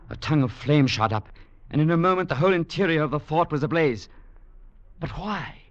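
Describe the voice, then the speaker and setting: An actor playing a grave young English legionnaire, narrating in an earnest, measured, formal way in 1940s radio drama style. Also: male voice, lowish voice. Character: grave young English legionnaire recounting his brothers' tragedy. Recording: muffled 1940s radio recording with music.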